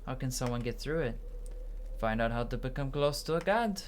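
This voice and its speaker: A person reading video game dialogue aloud in character, in a halting way with stumbles. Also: mid-range voice, animated, slight background noise. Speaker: gaming video narrator voicing characters.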